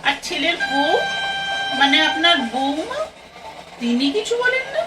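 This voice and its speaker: A woman's voice: high voice, sing-song, a little slow, background noise. A woman playing a friendly young train passenger in an audio play, direct and inquisitive in her manner. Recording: slightly distant from microphone.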